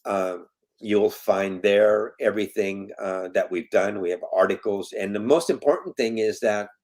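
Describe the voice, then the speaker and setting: A man's voice: on the low side, normal speed, a little mellow. A man in his fifties from Colombia, talking as an interview guest and foundation president in earnest, emphatic tones, repeating his key points. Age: 50s. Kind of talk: casual interview talk.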